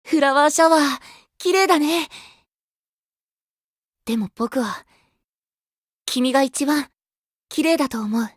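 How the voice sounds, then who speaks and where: A man's voice: very high voice, slow, long pauses, no echo, studio quality. A man playing a sweet, innocent, boyish male idol in a Japanese mobile game, speaking in simple childlike phrases. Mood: tender, loving.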